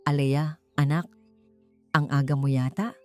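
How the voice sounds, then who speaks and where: A woman's voice: low-pitched, steady tone, measured pace, dark tone. A woman with a Filipino accent voicing a tender, worried mother in an audiobook. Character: gentle, worried mother selling flowers. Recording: voice over background music.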